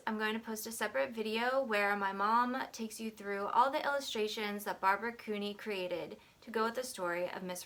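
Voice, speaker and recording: Higher-pitched woman hosting for children. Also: fairly bright tone. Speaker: teacher and host. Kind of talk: video outro addressed to children.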